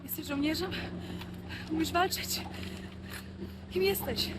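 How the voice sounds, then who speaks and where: An actress playing an earnest Polish woman in a video game, gentle but resolute, with a Polish accent and halting English. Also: very high-pitched, very noisy. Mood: wary, direct. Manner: halting English, searching for words. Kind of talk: cinematic video game acting.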